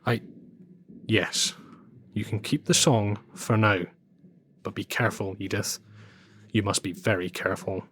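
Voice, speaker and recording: An actor playing a controlled, politely threatening Nazi commandant in an audio drama, measured and formal. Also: male voice, lowish voice, fairly even intonation, a little hiss or hum. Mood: quietly threatening. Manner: measured, formal, quietly warning.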